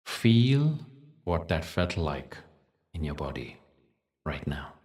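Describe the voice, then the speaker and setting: A man leading a guided meditation in a soothing, measured way, leaving long pauses between short phrases. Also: extremely low pitch, big pitch swings, a little mellow, distant mic. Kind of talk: guided meditation with spoken teaching.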